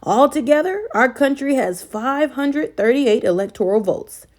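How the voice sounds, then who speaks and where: A woman's voice: high-pitched, expressive, unhurried, darkish tone, pitched above the performer's own voice. A woman voicing a male schoolteacher in a storybook, explaining things to children in an emphatic, instructive way. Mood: explanatory.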